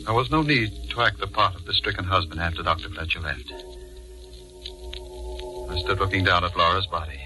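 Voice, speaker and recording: A man's voice: low voice, brisk, long pauses, bright, forceful tone, rough recording. A man acting in a 1940s radio drama as a guilt-ridden, haunted judge, speaking with intense, dramatic delivery on an old recording with music. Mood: brooding, tense. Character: guilty, haunted judge narrating his crime, increasingly panicked.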